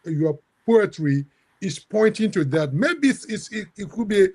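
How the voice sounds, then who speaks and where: A man moderating a book discussion in an academic way, halting and repeating words as he builds his point. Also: sits high, lively intonation, a little mellow, slightly rough.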